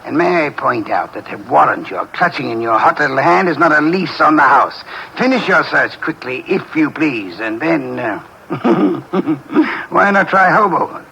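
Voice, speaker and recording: An actor playing a pompous, sharp-witted detective in a 1940s radio drama, speaking in a dry, sardonic, imperious way. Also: male voice, on the high side, lively intonation, measured pace, vocal fry, a little hiss or hum, exaggerated voice, rough recording. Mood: mocking.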